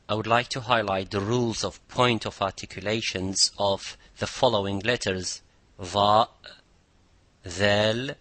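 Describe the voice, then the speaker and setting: A man hosting a lesson, explaining pronunciation points in a deliberate, instructive way and stressing key words. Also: fairly low pitch, pushed and bright, no room sound. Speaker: podcast host and Quran recitation teacher.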